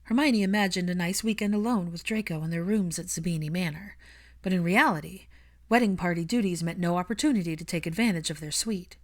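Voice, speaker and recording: A woman's voice: fairly low pitch, a little fast. A woman reading fiction aloud as an audiobook narrator, in a scripted storytelling style.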